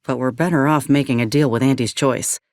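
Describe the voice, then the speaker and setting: A woman's voice: extremely low pitch, fairly quick, darkish tone, no echo. A woman voicing a tough, wry female soldier and former medic in a video game, with a folksy drawl and dry sarcasm. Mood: wry, cautious. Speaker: companion character.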